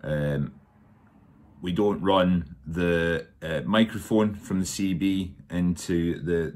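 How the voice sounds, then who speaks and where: A man's voice: low voice, a little mellow. A man giving an informal hands-on tutorial indoors at home, speaking in a hesitant, matter-of-fact way. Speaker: DIY workshop presenter. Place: indoors at a dining table at home.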